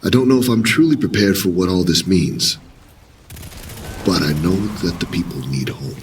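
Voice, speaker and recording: A man playing a solemn veteran commander turned spiritual leader in an audio drama, speaking in a measured, formal, earnest way. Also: deep voice, sing-song, far from the mic, background noise. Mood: resolute.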